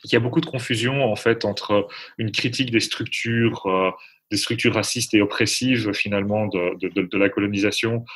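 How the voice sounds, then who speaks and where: A man's voice: on the low side, steady tone, moderate pace, fairly bright tone. A man giving spontaneous expert commentary as an interview guest, hesitantly repeating small words as he thinks.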